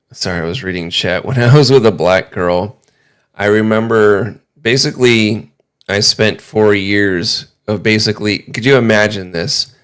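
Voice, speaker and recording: A man casually chatting as host of a livestream, rambling and halting in an amused way. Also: on the low side, a bit bright, clean tone. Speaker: livestream host reacting to videos.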